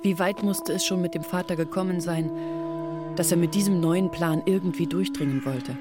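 A woman's voice: fairly low pitch, fairly even intonation, normal speed, loud music behind the voice, natural voice. An actress as earnest, grieving young village woman. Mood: sorrowful, earnest. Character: grieving young village woman recounting her family's downfall. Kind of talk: literary radio drama narration.

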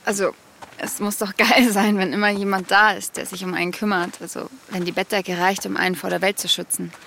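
Cynical young woman, hesitant, stammering, gravelly, faint noise. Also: medium pitch, flat delivery, a little fast, sharp tone. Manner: hesitant, stammering, repeating words. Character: young woman, cynical, searching, self-doubting. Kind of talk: audio drama acting.

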